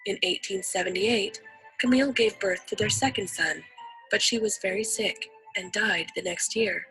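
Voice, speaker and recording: A woman's voice: mid-range voice, normal speed, a bit bright, faint music behind, poor audio. A woman reading a scripted educational narration as the narrator of an instructional video.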